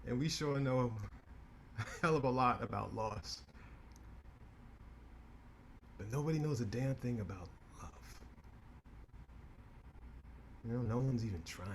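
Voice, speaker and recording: Staged reading: male actor as a sharp-tongued lawyer, lively swooping delivery, teasing. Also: medium pitch, slight background noise, lightly acted. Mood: grave, weary. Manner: teasing, quick comebacks, then grave and reflective.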